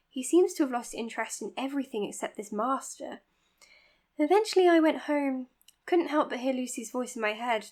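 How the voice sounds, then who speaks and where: An actress as an overworked woman doctor in an audio drama, with a fairly high voice. She is formal and earnest, choosing her words precisely and quick to blame herself. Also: a little mellow, close to an everyday voice. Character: overworked, self-blaming woman doctor running an asylum. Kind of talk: naturalistic audio drama, dictated case notes and dialogue.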